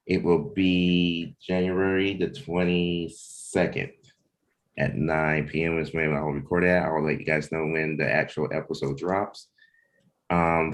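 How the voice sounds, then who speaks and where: A man hosting a livestreamed table read in a casual, informal way, pausing between short phrases. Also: extremely low pitch. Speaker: host, comic book creator and narrator.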